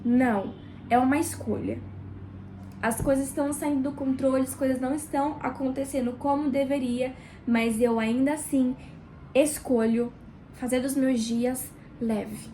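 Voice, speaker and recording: Brief: high-voiced Brazilian woman, book club host, nasal from a cold, chatty and direct, small kitchen with a faint hum behind. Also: steady tone, low-quality recording. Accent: Brazilian Portuguese. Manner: warm, chatty, addresses viewer directly with pauses. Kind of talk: casual devotional reading vlog.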